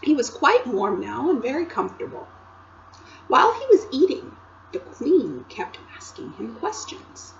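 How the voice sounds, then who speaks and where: A woman teacher reading a chapter book aloud to children, with expressive storytelling and chatty asides to her listeners. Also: sits high, unhurried, creaky, dull audio, a little hiss or hum.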